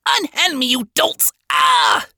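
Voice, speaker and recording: Narrator as a high, panicky little scientist demon. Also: wildly swooping pitch, slow pace, gravelly, bright, forceful tone, no echo, studio quality, heavily acted. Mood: panicked. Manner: indignant, yelping in panic. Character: pint-sized pompous male demon scientist, panicky.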